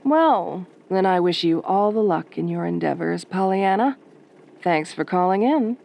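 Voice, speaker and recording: A woman playing a sultry, sardonic late-night radio DJ in a soft, velvety, teasing voice. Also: on the low side, animated, a little slow, creaky. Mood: wry, teasing. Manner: flirtatious, teasing, wry. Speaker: radio host character.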